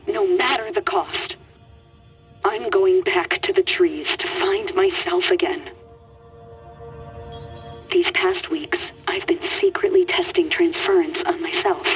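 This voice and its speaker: An anguished, high-voiced woman scientist. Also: gravelly, full character voice. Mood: resolute, haunted. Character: anguished, determined female scientist-healer confessing in a secret log. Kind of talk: dramatic video game monologue.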